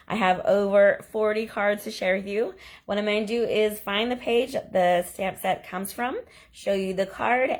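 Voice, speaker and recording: A woman hosts a crafting video in a chatty, enthusiastic way, gushing over each card she shows. Her voice sits at an ordinary middle pitch and has a slight hoarseness left over from a cough. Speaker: stamping demonstrator and channel host. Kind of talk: casual crafting video walkthrough.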